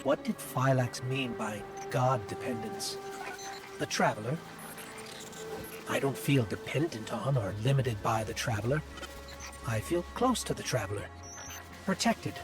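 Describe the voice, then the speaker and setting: An earnest little robot sidekick voiced by a man with a mid-low natural voice. He talks reflectively and conversationally, with loud game music behind him. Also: lightly acted, rough recording. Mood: troubled reflection. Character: small robot companion, earnest, thoughtful, male voice. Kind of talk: video game companion dialogue.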